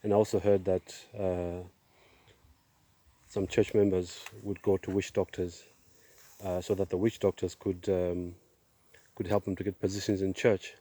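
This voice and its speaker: A middle-aged man giving a personal testimony outdoors, speaking in a halting, measured way with pauses. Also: low voice, level tone, warm tone. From Zimbabwe, born in Zambia. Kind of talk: personal testimony, casual monologue. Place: outdoors, on a walk.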